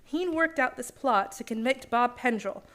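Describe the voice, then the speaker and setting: A woman plays an earnest young lady in an old-time radio mystery. Her voice sits a little high, and she explains things with insistent, urgent emphasis. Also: expressive, normal speed, a bit bright. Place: theater stage, performed live.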